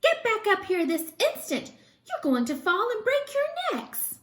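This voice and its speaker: A woman's voice: extremely high pitch, huge pitch swings, measured pace, a bit bright, echoey room, voice pushed up, heavily acted. A woman voicing a strict, protective mother bird who scolds shrilly in a children's storybook. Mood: alarmed, scolding.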